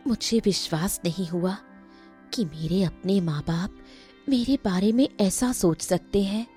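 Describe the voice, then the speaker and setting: A sorrowful ghost queen voiced by a woman for a cartoon moral tale. She speaks slowly and soothingly in a soft-edged, dark tone, with music underneath. She is young, gentle and solemn. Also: medium pitch, lightly acted. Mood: grieving.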